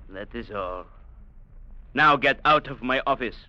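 An actor playing a domineering, sarcastic colonial trading captain in an old radio drama. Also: male voice, mid-range voice, lively intonation, a little slow, pushed and bright, dry recording. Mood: bullying, irritated. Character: sneering, bullying colonial trading agent captain, a man. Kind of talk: 1940s-50s radio drama acting. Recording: muffled, hissy old radio broadcast.

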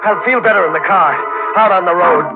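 An actor playing a panicky young newlywed husband in an agitated, slangy 1940s radio mystery style. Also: male voice, high-pitched, fairly quick, over loud music, lightly acted. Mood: urgent. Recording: noisy, narrow-band old radio recording.

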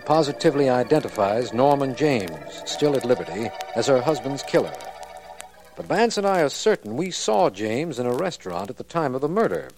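An actor playing a skeptical, plain-spoken district attorney, speaking and narrating in 1940s radio crime drama style. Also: male voice, medium pitch, lightly acted. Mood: formal recap. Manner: plain-spoken, matter-of-fact. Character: brisk, skeptical male district attorney, detective's companion. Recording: noisy vintage radio recording.

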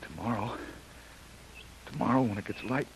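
Tough jungle hero, urgent, heavy hiss of old radio. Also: male voice, lowish voice, normal speed, creaky, poor audio. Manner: urgent, protective, talking to himself. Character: rugged male jungle adventurer. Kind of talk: 1930s radio acting. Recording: hissy old radio recording.

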